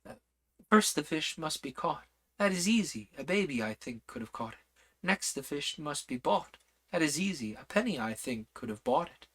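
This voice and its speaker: A man voicing a gentle queen who speaks softly in a cooing manner while reciting a riddle in a storybook reading. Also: on the high side, lively intonation, normal speed. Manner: soft, cooing, dreamy.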